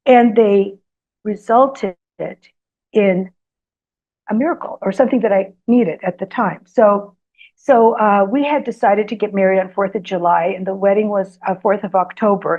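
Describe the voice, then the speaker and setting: Woman, greek first language, lots of ums, gets lively telling a story, kinda dull lo-fi sound. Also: mid-range voice. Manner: hesitant, lots of ums, animated when telling anecdotes. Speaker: guest author. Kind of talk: storytelling in an interview.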